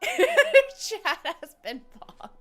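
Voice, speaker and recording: A woman streaming a game with casual, playful reactions. Her voice is high and swings widely in pitch, yet she takes her time. Faint music runs underneath. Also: huge pitch swings, extremely slow, fairly bright tone. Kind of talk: casual live game commentary.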